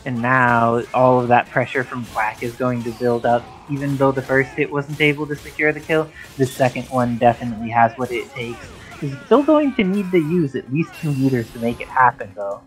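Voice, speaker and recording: A man's voice: mid-range voice, moderate pace, poor audio. Male esports commentator, mid-range voice, explanatory play-by-play with faint game music behind. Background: game music under the commentary.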